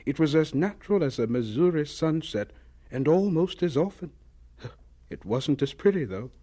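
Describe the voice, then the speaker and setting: An actor playing a dignified, wry old American scientist who reminisces in a deliberate, folksy way in a radio drama. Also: male voice, a little high, lively intonation, warm tone. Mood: reminiscing, wry. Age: older.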